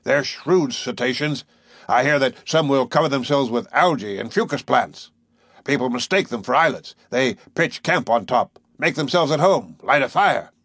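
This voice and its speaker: A man's voice: medium pitch, normal speed, breathy edge, no room sound, clean studio sound, pitched above the performer's own voice. A man voicing a boisterous old-time harpooner. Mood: storytelling. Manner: loud, excitable, boastful. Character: boisterous burly male Canadian harpooner, blunt.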